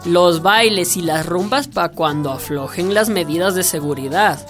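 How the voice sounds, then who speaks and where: Una mujer que interpreta en un radioteatro a una persona organizadora de radio comunitaria, franca, persuasiva e irónica, de habla coloquial. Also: female voice, on the low side, moderate pace, music in the background, close to an everyday voice. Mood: persuasive, wry. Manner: colloquial, persuasive, wry. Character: pragmatic, outspoken community radio organizer. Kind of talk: radio drama acting.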